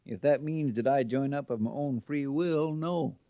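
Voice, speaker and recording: An actor playing a sullen, uneducated jailbird army recruit, laconic and folksy, in an old radio western. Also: male voice, mid-range voice, moderate pace, dry recording, lightly acted. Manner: laconic, folksy ungrammatical speech. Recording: dull old radio recording.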